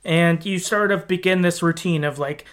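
Casting: man with a higher-than-average voice, casual podcast host retelling a story, conversational and tentative. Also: normal speed, fairly bright tone. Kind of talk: casual podcast discussion, recapping a game's story.

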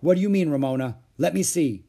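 A man's voice: a little high, fairly quick, dark tone, voice pushed up. A man voicing a firm, reproving mother of two children in a storybook reading. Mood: alarmed, scolding.

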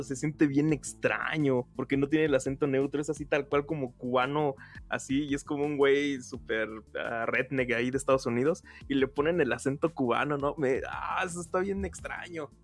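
A Mexican man co-hosts a relaxed podcast chat. He rambles along in slang at a middling pitch, with faint music playing beneath his voice. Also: fairly quick. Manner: slangy, rambling, laughs often. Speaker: podcast co-host. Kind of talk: casual chat.

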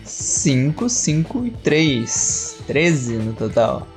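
A woman plays in a tabletop game, her voice low and dark. She speaks slowly and deliberately, and faint music plays behind. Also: big pitch swings. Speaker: player. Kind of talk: casual play at a tabletop game.

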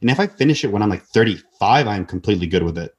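A man's voice: fairly low pitch, animated, fast talker, a little mellow, breathy edge. A man in his twenties, a podcast guest talking earnestly in casual conversation, often restarting and repeating words. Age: 20s.

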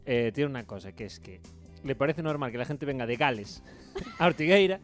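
A man's voice: mid-range voice, lively intonation, a little fast. A young man with a Spanish accent, hosting a casual, bantering podcast chat. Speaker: podcast host. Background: music.

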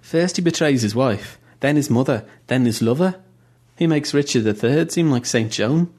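A man's voice: on the high side, fairly even intonation, a little fast, creaky, a little mellow, pitched above the performer's own voice. A man voicing a crude, scornful male soldier who speaks bluntly and with contempt.

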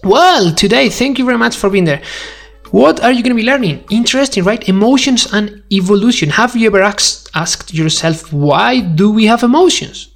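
A man's voice: high-pitched, lively intonation, normal speed, vocal fry, faint music behind. Spanish-accented male podcast host, emphatic delivery. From Spain. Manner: emphatic, addresses listener with rhetorical questions. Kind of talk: conversational educational monologue to the listener.

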